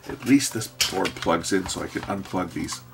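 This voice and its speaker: A man with a low, creaky voice narrates a hands-on repair. He talks casually and thinks aloud, pausing as he works things out. There is faint noise behind him, with small clicks from handling parts. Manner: thinking aloud, hesitant while working. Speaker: host repairing electronics.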